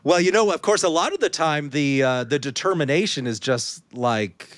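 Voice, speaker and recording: A man hosting a casual podcast, his pitch a touch high and swinging widely as he talks. He laughs often and slips into mimicking other people mid-story. The sound is dry and close, with no room echo. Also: animated, moderate pace. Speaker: podcast host and editor. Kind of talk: casual conversational interview.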